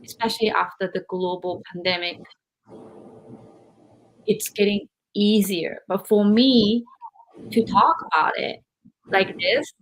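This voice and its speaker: Slow, hesitant woman. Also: mid-range voice, low-quality recording. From Japan. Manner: hesitant, searching for words, many fillers. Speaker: podcast host. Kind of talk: interview podcast.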